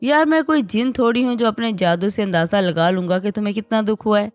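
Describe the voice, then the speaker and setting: A woman's voice: mid-range voice, brisk, darkish tone, no room sound, faint music behind, close to an everyday voice. Woman reading as a teasing young husband. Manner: bantering, teasing.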